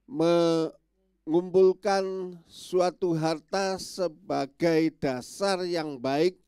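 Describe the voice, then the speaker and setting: Male pastor, congested and nasal, slow unhurried sermon delivery, smooth clear tone, dry close sound. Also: fairly high pitch, mellow, no echo. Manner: slow, friendly, many filler yeses. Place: church.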